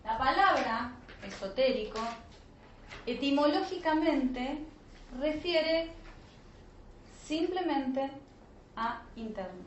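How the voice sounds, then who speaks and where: High-voiced woman lecturing in an echoey room. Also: expressive, takes it slowly, pauses often. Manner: didactic, frequent check-in questions. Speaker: teacher of an online spiritual alchemy course. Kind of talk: explanatory lecture to students. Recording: roomy echo, dull lo-fi sound, faint noise.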